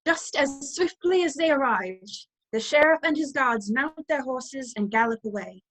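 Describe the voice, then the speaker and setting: High-pitched woman narrator. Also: animated, normal speed, fairly bright tone, rough recording. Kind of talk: storytelling narration of a radio play.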